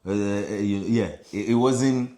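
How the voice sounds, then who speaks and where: A Nigerian man with a fairly low voice hosts a reaction video. He chats casually and switches between English, Pidgin and Yoruba as he comments. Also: fairly even intonation, measured pace, mellow. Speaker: host of a challenge reaction video.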